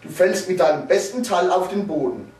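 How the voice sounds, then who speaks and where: A man's voice: sits high, expressive, normal speed, a little mellow. Male poetry reciter, theatrical and declamatory, with widely swinging intonation; roomy, distant-mic sound. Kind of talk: dramatic poetry recitation, satirical. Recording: roomy echo, distant microphone.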